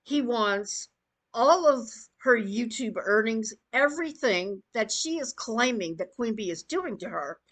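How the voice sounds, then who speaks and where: A woman's voice: fairly high pitch, animated, a little slow, fairly bright tone. A woman giving an opinionated rant as an online commentator, blunt, emphatic and scornful in her delivery. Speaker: YouTube commentator.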